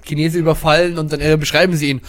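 A man's voice: on the high side, little pitch movement, racing. A man with a Bavarian accent in casual podcast chat, talking hesitantly with many fillers. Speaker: podcast co-host.

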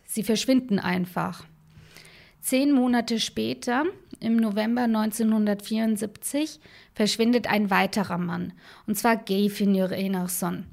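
A woman co-hosting a true crime podcast, telling the case in a relaxed, conversational way with fillers and asides. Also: a little high, gravelly. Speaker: podcast co-host.

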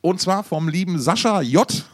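A man's voice: sits high, lively intonation, normal speed, no echo, clean studio sound. A man chatting casually as a podcast co-host, rambling and often repeating words as he searches for them. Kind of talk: casual chat between two podcast hosts.

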